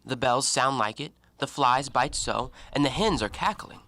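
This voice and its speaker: A man playing a talkative, educated and self-righteous gentleman antiquarian in an audio drama, given to theatrical, argumentative speeches. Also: medium pitch, normal speed. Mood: restless, probing. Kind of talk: stage-play acting in an audio drama.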